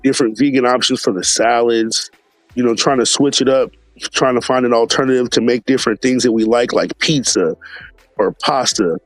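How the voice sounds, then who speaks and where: A man hosting a casual live stream, talking in a relaxed, slangy way and sounding a bit stuffed up from allergies. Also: medium pitch, moderate pace, no room sound, faint music behind. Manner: laid-back, slangy, many fillers, greets chat viewers by name.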